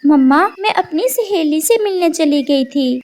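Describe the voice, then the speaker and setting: A woman's voice: sits high, lively intonation, measured pace, a little mellow, pitched above the performer's own voice. A woman voicing a stubborn, fussy young female bird in a children's cartoon, speaking in a petulant, insistent way. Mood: defiant.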